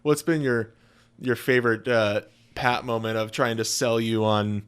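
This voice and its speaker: A man's voice: mid-range voice, steady tone, a little slow, fairly bright tone, clean tone. A man hosting a podcast, chatting casually in an upbeat, joking way. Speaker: podcast host. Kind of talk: casual chat.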